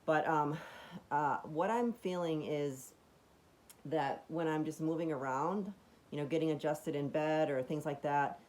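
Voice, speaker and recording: Low, raspy-voiced woman vlogging casually. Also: darkish tone. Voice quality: raspy, deeper voice. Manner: chatty and meandering, many fillers and restarts, enthusiastic. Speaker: vlogger demonstrating a device. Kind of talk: casual home demonstration vlog.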